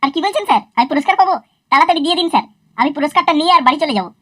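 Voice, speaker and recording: A woman voicing a cheeky, foul-mouthed young schoolboy in a cartoon, talking in a quick, sassy and crude way. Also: high-pitched, animated, sharp tone, dry recording. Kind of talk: cartoon voice acting.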